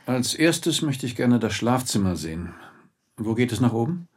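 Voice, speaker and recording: An actor playing a courteous, businesslike police inspector with a husky voice in a German radio drama. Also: male voice, medium pitch, big pitch swings, moderate pace. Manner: polite, questioning, businesslike.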